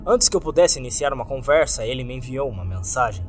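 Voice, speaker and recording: A man's voice: medium pitch, big pitch swings, fast. A man with a Brazilian accent reading a scripted first-person horror story with dramatic delivery, as narrator. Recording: background music under the voice. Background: music.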